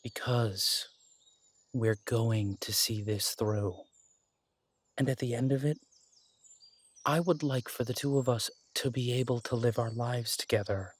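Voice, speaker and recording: A man plays a steady, protective werewolf detective in an audio roleplay, his husky voice slow and soothing. He speaks gently and without hurry, and the voice sits close and dry. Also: mid-range voice, no room sound. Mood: warm, chatty. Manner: gentle, reassuring, unhurried. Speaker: audio drama performer. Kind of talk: romantic audio drama roleplay.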